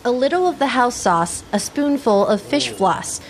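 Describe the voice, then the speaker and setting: A woman narrating a news feature as a reporter in a clear, steady voice-over. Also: fairly high pitch, normal speed, a little hiss or hum.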